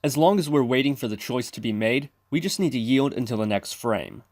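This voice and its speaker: A man's voice: medium pitch, lively intonation, a little fast, a little mellow, no echo. A man giving scripted instructional narration as a tutorial presenter, explaining methodically step by step. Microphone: close mic, screen-recording voice-over.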